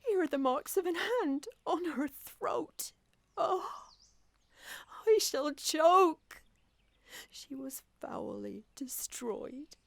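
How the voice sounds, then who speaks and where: A woman's voice: high-pitched, big pitch swings, extremely slow, no room sound, full character voice. A woman playing a fragile, haunted, grief-stricken madwoman in a Victorian audio melodrama, with anguished theatrical delivery.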